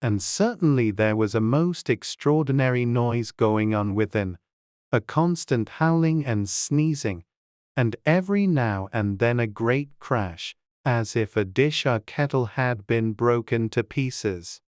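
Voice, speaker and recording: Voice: medium pitch, lively intonation, a little slow, a little mellow, no room sound, studio quality. An audiobook narrator reading a dramatized storybook.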